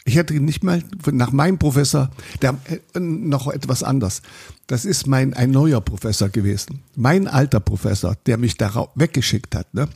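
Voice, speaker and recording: Gravelly older man. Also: medium pitch, moderate pace, darkish tone. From Saxony, Germany. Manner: rambling, self-interrupting storyteller with many restarts. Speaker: interviewee, photographer. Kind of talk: recollecting in a conversational interview.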